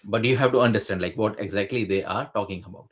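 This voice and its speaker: Low-voiced man explaining with many fillers. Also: fast. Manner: hesitant, many fillers and restarts. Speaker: guest sharing exam experience. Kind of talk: casual conversational explanation.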